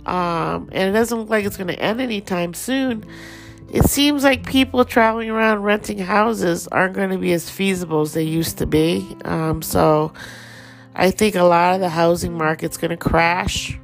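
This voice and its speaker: A woman with a Thai accent giving a relaxed, rambling daily astrology forecast as host. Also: lowish voice, normal speed, vocal fry, faint music behind, low-quality recording.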